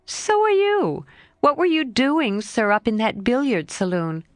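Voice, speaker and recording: A woman doing a lively, earnest young girl. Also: fairly high pitch, measured pace, dry recording, clean studio sound, pitched above the performer's own voice. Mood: teasing. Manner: eager, scolding, rising and bright.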